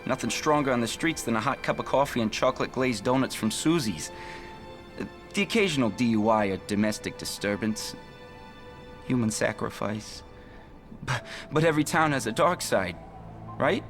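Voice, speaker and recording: A man's voice: mid-range voice, lively intonation, normal speed, a bit bright, soft background music. An actor playing a naive, rattled small-town policeman in a video game, nervous, folksy and rambling. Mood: rattled, chatty. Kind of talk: video game character monologue.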